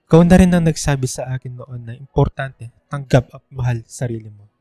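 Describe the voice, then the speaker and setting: Man playing a mellow, caring young suitor. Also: medium pitch, fairly quick, no room sound, clean studio sound, lightly acted. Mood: earnest, comforting. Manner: gentle, encouraging. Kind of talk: radio drama dialogue.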